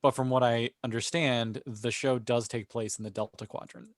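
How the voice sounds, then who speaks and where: A man's voice: mid-range voice, steady tone, normal speed, no echo, clean studio sound. A man co-hosting a casual panel chat podcast, explaining things like an enthusiastic fan. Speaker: podcast co-host.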